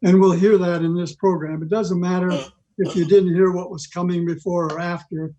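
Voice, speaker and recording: A man giving an informal, halting, explanatory introduction to a program over a video call. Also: sits high, level tone, dull audio, a crowd in the background. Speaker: club presenter introducing an old radio program. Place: online video call meeting. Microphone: video call microphone.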